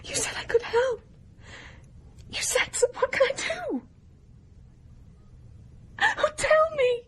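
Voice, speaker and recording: An actress playing a distraught, guilt-ridden grieving woman in a radio horror drama, breathy and anguished. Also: extremely high pitch, takes it slowly. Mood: desperate.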